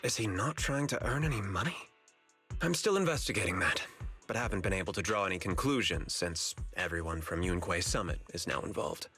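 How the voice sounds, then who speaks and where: A man's voice: low voice, lively intonation, brisk, pushed and bright, soft background music, heavily acted. An actor playing a suspicious, self-justifying businessman in a video game, speaking formally and emphatically. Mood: guarded suspicion. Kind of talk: video game story dialogue acting.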